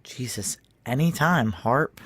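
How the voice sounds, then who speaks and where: An actor playing a tense, deferential security chief in an audio drama, narrating in a clipped, wry American voice. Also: male voice, medium pitch, expressive, slow, fairly bright tone, slightly rough, no room sound, exaggerated voice. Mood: urgent, exasperated. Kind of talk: audio drama acting with first-person present-tense narration.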